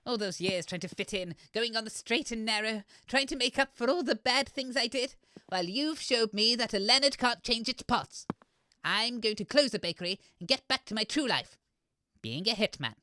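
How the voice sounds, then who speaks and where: A woman's voice: on the high side, expressive, normal speed, bright, forceful tone, no echo. A woman playing a menacing granny baker with a criminal past in a comedy audio sketch, sweetly evasive with veiled threats. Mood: defiant, ruthless.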